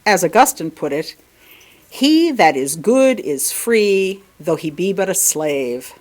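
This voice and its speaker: A woman reading a sermon aloud, deliberately, with emphatic stress on key words. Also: on the low side, sing-song. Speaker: lay reader delivering a sermon at a church. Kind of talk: read-aloud sermon with brief asides.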